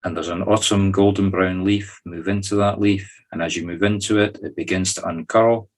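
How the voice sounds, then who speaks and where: A man's voice: sits low, steady tone, normal speed. A Scottish man leading a guided meditation, talking listeners through each step in an informal, conversational way. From Scotland. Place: recorded in a group video call.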